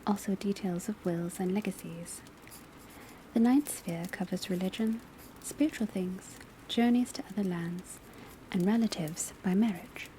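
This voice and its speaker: A woman playing a professor of divination at a witch school, lecturing in a soft, breathy, measured whisper in an ASMR roleplay. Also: mid-range voice, close to an everyday voice. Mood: calm, instructive. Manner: measured, instructive, hushed. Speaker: teacher. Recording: close ASMR recording with background ambience noise. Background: crackling fireplace, pencil writing, rain on rooftop.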